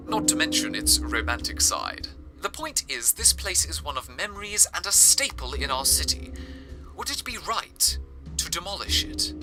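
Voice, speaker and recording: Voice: medium pitch, close to an everyday voice. A performer playing a theatrical, sentimental city radio host in an amateur audio drama, with florid phrasing. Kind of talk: amateur radio drama, scripted broadcast narration.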